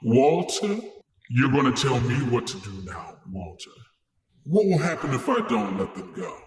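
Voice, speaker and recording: A man's voice: mid-range voice, sing-song, moderate pace. A man voicing a menacing supernatural ruler, taunting and condescending, with a theatrical delivery and an echoing effect. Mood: mocking threat. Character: menacing male Stranger, mocking ruler of a supernatural realm. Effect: heavy echoing reverb. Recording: echoing long reverb over music.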